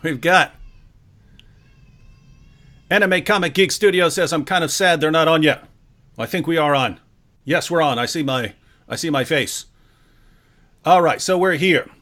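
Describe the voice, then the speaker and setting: A man hosting a live-streamed talk show, jokey and energetic as he introduces his guest and relays viewer comments. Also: on the high side, unhurried, long pauses. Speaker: host.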